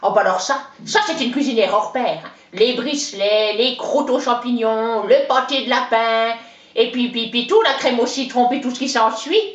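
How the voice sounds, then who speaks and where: A woman's voice: a little high, normal speed, natural voice. A woman playing a chatty, boastful older countrywoman with a Franc-Comtois accent, rambling in a folksy way. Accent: Franc-Comtois (Haut-Doubs). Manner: folksy, rambling, peppered with "hein" and "oh ben". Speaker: interviewee. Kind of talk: comic character interview. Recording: roomy, dull lo-fi sound.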